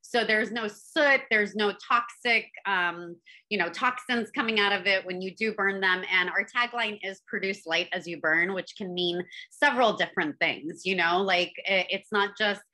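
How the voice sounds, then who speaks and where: Bright-voiced chatty woman. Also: medium pitch, normal speed, rough recording. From born in Afghanistan, raised in Northern California. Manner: relaxed, chatty, many fillers and restarts. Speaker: guest, candle brand founder. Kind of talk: casual interview chat.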